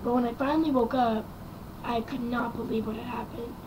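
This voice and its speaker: Raspy, quiet young woman vlogger. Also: on the high side, steady tone, fairly quick, background noise. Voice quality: raspy and quiet from vocal cord damage. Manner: rambling, many ums and likes. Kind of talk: casual personal story to camera.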